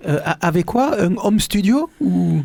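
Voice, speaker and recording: A man hosting a live radio interview in a studio, halting and repeating words with many fillers. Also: high voice, lively intonation, creaky, a little mellow. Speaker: radio host. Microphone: studio microphone.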